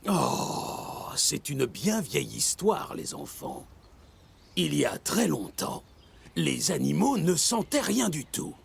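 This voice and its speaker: Give the part to an old cartoon elder with a heavily creaky voice. He tells tales to children kindly and slowly, with expressive swings and music behind him. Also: fairly high pitch, exaggerated voice. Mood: storytelling. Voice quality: gravelly, creaky. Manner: slow, expressive storytelling. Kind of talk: French children's cartoon dub. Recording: music under the voice.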